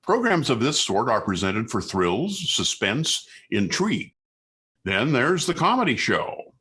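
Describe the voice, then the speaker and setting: A man's voice: medium pitch, sing-song, unhurried, bright, forceful tone, slight background noise. A man announcing and narrating a 1940s radio show in a formal, theatrical way. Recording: 1940s radio recording with music.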